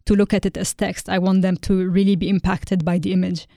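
A woman from Lebanon, a guest artist in an interview, speaking thoughtfully and pausing often with ums. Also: lowish voice, flat delivery, gravelly, warm tone, no room sound, studio quality. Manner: thoughtful, hesitant with frequent ums. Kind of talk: interview conversation.

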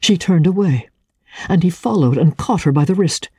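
A woman with a low, husky voice giving a dramatic narration of a classic novel as an audiobook reader. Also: lively intonation, normal speed, mellow, no echo, clean studio sound. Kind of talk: dramatic scripted literary narration.

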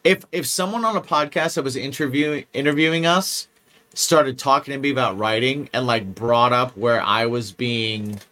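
A man's voice: mid-range voice, moderate pace, bright, forceful tone. Rambling, swearing podcast co-host man. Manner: rambling, swears freely, restarts a lot. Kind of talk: casual chat.

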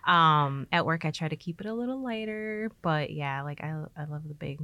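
Female, deep-voiced artist in a casual interview, informal storyteller, close dry sound. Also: animated, normal speed, pushed and bright, smooth, dry recording, highs cut off.

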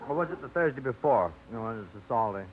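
A man's voice: mid-range voice, expressive, fast talker, background noise, close to an everyday voice. An actor playing a fussy, set-in-his-ways middle-aged bachelor who rambles with old-fashioned phrases, in a 1950s radio drama. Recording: muffled old radio broadcast.

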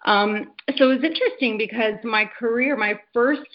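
A woman's voice: a little high, moderate pace. A woman in her thirties, a guest raised in Canada, speaking earnestly and reflectively with many fillers and restarts over a video call. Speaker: guest, network marketer. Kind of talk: casual interview chat. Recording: dull lo-fi call audio.

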